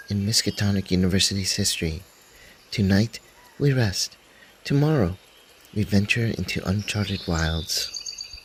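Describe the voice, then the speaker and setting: A man playing a 1920s scholar who reads his expedition journal aloud in a measured, dryly wry way. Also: on the low side, background noise, close to an everyday voice. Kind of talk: first-person journal reading, radio drama.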